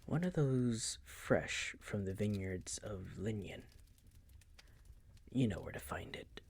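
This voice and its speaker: A man playing a possessive, suave vampire master in an intimate audio roleplay, hushed and breathy, with a silky, teasing, condescending manner. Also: on the low side, lively intonation, slow, heavily acted. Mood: wistful, teasing.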